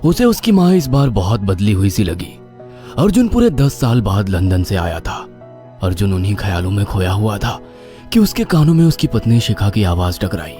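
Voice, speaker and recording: A man's voice: on the low side, sing-song, normal speed, dark tone, faint music behind. A man narrating a scripted horror story in a dramatic style.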